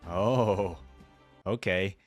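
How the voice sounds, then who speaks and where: A man's voice: sits low, drawn-out words, faint music behind. A man hosting a reaction video, commenting casually in colloquial, exclaiming bursts.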